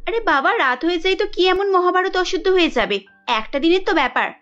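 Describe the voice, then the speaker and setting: A woman's voice: very high voice, animated, a little fast, fairly bright tone, lo-fi sound, faint music behind. A woman voicing a young Bengali housewife in a family cartoon, with an emphatic, reproachful way of talking. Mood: persuasive, insistent. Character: young Bengali housewife Kajal, dutiful but quick to sulk and scold.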